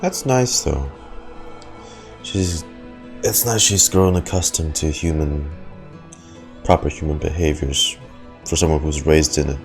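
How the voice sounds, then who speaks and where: A woman's voice: rumbling low, a little slow, pauses often, a little mellow. A woman reacting to a game, blunt and wry, swearing now and then. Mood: relaxed. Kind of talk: reaction commentary. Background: game music.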